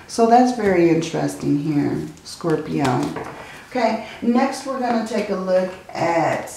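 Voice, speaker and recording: A woman speaks slowly and gently as she reads tarot, her voice low and a little worn out. Her phrases run on softly and often end in "okay?" The sound echoes in the room. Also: animated, creaky, a little hiss or hum. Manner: slow, soothing, often adds "okay?" and "I feel like". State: tired, strained voice. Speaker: tarot reader. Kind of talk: casual tarot reading. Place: indoors at a table, hands seen handling cards.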